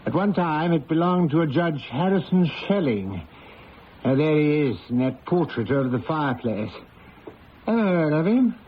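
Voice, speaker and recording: An actor playing a polite, cautious village house agent, speaking in a courteous, hesitant, foreboding way in an old-time radio drama. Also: male voice, fairly high pitch, sing-song, normal speed. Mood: uneasy, ominous. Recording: dull, noisy old radio recording.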